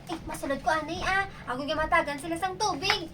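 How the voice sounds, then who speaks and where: A woman's voice: very high voice, fast. A woman acting in an amateur radio drama. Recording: distant mic with faint background noise.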